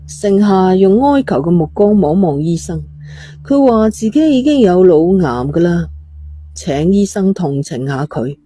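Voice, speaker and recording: A woman's voice: fairly low pitch, very animated, measured pace, mellow, husky. A woman with a Hong Kong Cantonese accent reads a scripted horror-mystery story as its narrator. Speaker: audio novel narrator.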